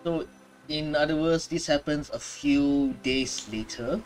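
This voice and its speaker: Slow-talking young man reacting to a game. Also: on the high side, soft background music, low-quality recording. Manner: slow, offhand remarks. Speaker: gaming reaction streamer. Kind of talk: casual reaction commentary.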